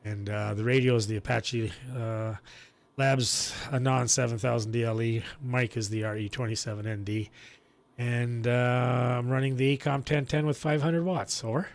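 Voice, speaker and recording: A man chatting casually as an amateur radio operator, talking in a halting, chatty way with throat clearing. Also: medium pitch, steady tone. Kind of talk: casual ham radio chat.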